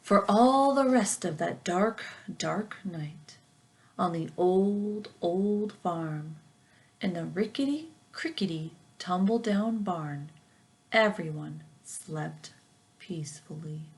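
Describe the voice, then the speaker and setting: Slow, deliberate woman reading a storybook. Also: on the low side, slight background noise. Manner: expressive, deliberate, rhythmic phrasing for children. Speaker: teacher reading a picture book aloud. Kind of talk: children's storybook read-aloud.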